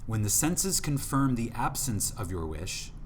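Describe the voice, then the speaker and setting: A man reading an audiobook from a script in an emphatic, deliberate manner, with dramatic pauses for effect. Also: mid-range voice, very animated, a little hiss or hum. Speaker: audiobook narrator.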